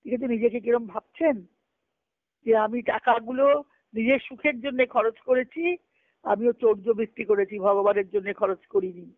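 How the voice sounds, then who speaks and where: A woman's voice: a little high, fairly even intonation, normal speed, dry recording. A woman on a tinny conference call, reading letters aloud and explaining them didactically as she repeats phrases. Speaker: spiritual discussion speaker. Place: speaking on a group conference call. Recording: tinny, band-limited conference phone line.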